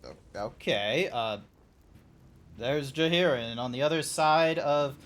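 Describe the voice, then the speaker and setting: A man's voice: medium pitch, a little slow, a bit bright, a little hiss or hum. A man hosting a live stream, chatting casually and asking short, puzzled questions. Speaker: stream host.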